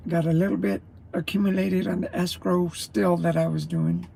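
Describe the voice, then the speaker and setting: A man's voice: high voice, little pitch movement, moderate pace, mellow. A man, a truck driver, rambling through a casual morning livestream and greeting viewers as he chews his breakfast. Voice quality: gravelly. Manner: chewing while talking.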